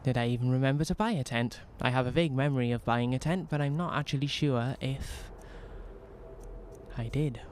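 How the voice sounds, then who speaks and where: A young man from Wales with a softened Welsh accent, streaming a role-playing game in rambling, self-mocking chat full of restarts. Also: mid-range voice, a little fast, a little hiss or hum. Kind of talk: casual in-character role-play commentary.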